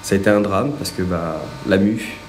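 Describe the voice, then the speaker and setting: Man, very deep voice, earnest and reminiscing, echoey room. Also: moderate pace, slight background noise. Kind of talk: documentary interview.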